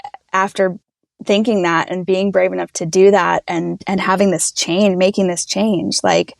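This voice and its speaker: Gravelly-voiced, eager woman. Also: lowish voice, fairly even intonation, normal speed, no echo, clean studio sound. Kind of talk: casual roundtable discussion.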